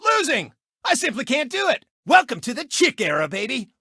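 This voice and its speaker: A man's voice: extremely high pitch, huge pitch swings, normal speed, bright, forceful tone, husky, no room sound, studio quality, heavily acted. A man voicing a cocky, egotistical race car who hosts a TV racing show, with boastful, mocking showboat delivery in a video game. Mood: smug boasting. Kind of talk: animated video game sportscast patter.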